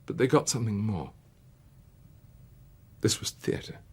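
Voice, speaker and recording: A British man giving a theatrical scripted biographical narration, dramatic and emphatic, with weighted pauses. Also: on the low side, big pitch swings, husky. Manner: dramatic, dwelling on words with long emphatic pauses and swells.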